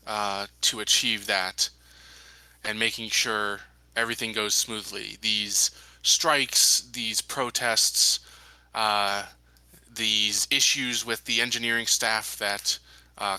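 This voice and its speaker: A smooth, diplomatic company man played by a man at a gaming table, speaking in measured, formal phrases. The voice is strikingly bright and clear, on the lower side, and recorded dry. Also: steady tone, no room sound, heavily acted, rough recording. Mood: measured, assuring. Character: smooth, evasive male company man reassuring colonists. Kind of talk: in-character tabletop roleplay.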